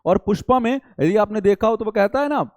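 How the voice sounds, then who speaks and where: A high-voiced man delivers an explanatory lecture, recorded close and dry. He speaks as an exam-prep teacher, didactic and repetitive, checking understanding with rhetorical questions. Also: expressive, fairly quick, darkish tone, no room sound. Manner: didactic, repeats key points and asks rhetorical questions.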